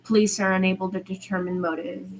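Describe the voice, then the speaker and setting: Female podfic narrator, mid-range pitch, informal amateur story reading with slight stumbles, faint noise behind. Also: flat delivery, fast talker, poor audio. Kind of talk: amateur fiction reading with character dialogue.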